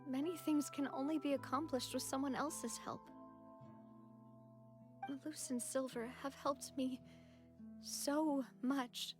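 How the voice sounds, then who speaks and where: A woman's voice: high voice, steady tone, slow, pauses often, over music. An actress playing a young woman leader in a video game, grieving but composed and gracious.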